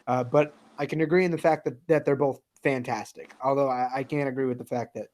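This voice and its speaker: A man's voice: medium pitch, steady tone, fast, a little mellow. A man hosting a casual comics chat, talking with enthusiasm, swearing now and then and leaning on fillers. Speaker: podcast host.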